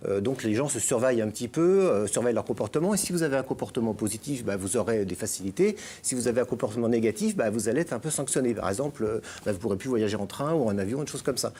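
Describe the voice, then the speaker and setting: A man's voice: mid-range voice, animated, fast, hardly any pauses, warm tone, husky. A middle-aged man from France, talking as a guest columnist in a radio chat, hesitant with many fillers and restarts. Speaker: guest columnist on digital topics.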